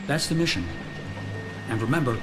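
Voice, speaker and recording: A man's voice: medium pitch, lively intonation, normal speed, loud music behind the voice, natural voice. Stern American officer played by a man. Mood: stern, urgent. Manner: commanding, clipped, serious. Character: stern wartime American intelligence officer briefing a sergeant. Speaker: commanding officer.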